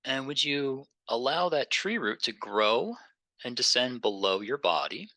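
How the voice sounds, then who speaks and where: Guy doing guided meditation, super bright crisp voice w some fry, slow n gentle, really dry close sound. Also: medium pitch, animated, no echo. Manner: gentle, unhurried, pausing between phrases.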